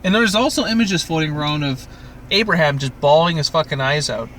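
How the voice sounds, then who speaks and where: A man's voice: on the high side, a little fast, a bit bright, slight background noise. A man from Canada co-hosting a casual TV recap podcast, opinionated and swearing freely. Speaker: podcast co-host.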